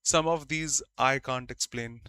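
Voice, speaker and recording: A man's voice: medium pitch, unhurried, sharp tone, smooth, no room sound. A man from India, a Hindi speaker, teaching an online grammar class and stressing key words by repeating them.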